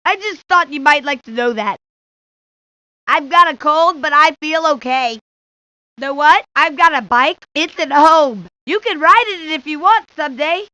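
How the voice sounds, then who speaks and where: A woman's voice: high voice, pushed and bright, no echo. A woman voicing a friendly, naive little boy who rambles childishly, for a cartoon video game. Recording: dull lo-fi 1990s game audio.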